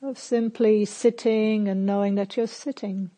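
A woman teaching guided meditation in a calm, gentle manner, with spacious phrasing and long pauses between instructions. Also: mid-range voice.

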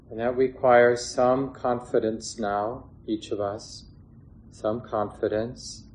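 Male meditation teacher, lowish pitch, flat unhurried delivery with long pauses, faint background noise. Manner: gentle, unhurried, with long pauses between short phrases. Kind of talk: guided meditation. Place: meditation hall with a gathered group. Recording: clear background noise, room ambience.

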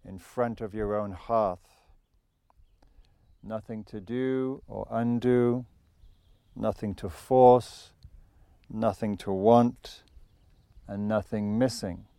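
A man leading a guided meditation as a teacher, speaking calmly and unhurriedly with long pauses between phrases. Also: mid-range voice, clear, resonant tone, no room sound. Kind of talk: guided meditation, reciting a contemplative text. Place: outdoors in nature. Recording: very noisy outdoor natural ambience, clicks.

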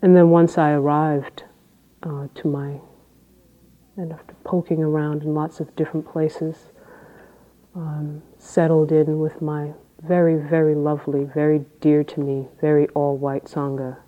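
A woman Zen teacher with a low voice, giving an unhurried dharma talk with halting phrases and long pauses. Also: little pitch movement, phone-quality sound.

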